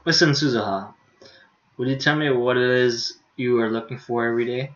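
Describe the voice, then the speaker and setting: A man's voice: medium pitch, fairly quick, dull audio. A tired male streamer reading a visual novel aloud in a laid-back way, with casual asides. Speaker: live streamer.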